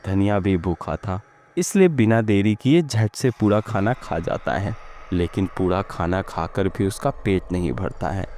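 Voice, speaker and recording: A male storyteller reads a scripted village tale. His pitch is on the low side. The narration comes with clearly audible noise behind it. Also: expressive, a little slow, mellow.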